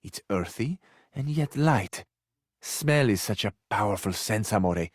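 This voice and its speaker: An actor playing a flowery, sensual, effusive Italian suitor who flirts overzealously in a video game, with an Italian accent. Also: male voice, lowish voice, expressive, measured pace, no echo, clean studio sound. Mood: seductive, tender.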